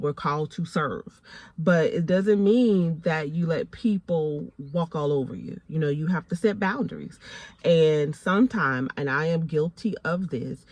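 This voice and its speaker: A woman's voice: low voice, dry recording. A woman in her forties with a Southern American accent, giving a faith talk to camera in an emphatic, preacherly way, repeating phrases for stress and calling listeners honey. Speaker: faith and lifestyle vlogger.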